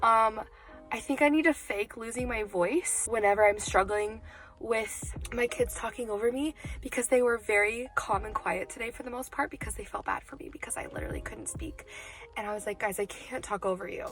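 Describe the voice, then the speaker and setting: A woman's voice: fairly high pitch, expressive, a little fast, a bit bright, soft background music. A woman in her twenties vlogging in a casual, candid way, her voice still recovering from being lost. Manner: chatty, candid, lots of "like". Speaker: vlogger and teacher. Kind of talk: casual vlog chat.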